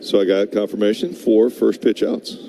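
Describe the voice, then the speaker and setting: A man's voice: lowish voice, animated, normal speed, vocal fry, noisy background. An American man working as a radio baseball analyst, commenting conversationally and reading sponsor spots. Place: stadium broadcast booth.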